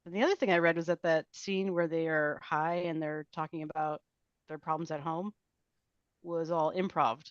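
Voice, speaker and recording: A woman's voice: low voice, steady tone, moderate pace, dry recording. A woman chatting casually in a group discussion, on a dull, lo-fi microphone.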